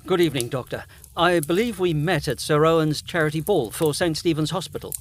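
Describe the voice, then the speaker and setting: A man playing a courteous, inquisitive young Edwardian gentleman in a gothic audio drama, speaking politely and formally. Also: on the high side, fairly quick, close to an everyday voice. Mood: curious, concerned.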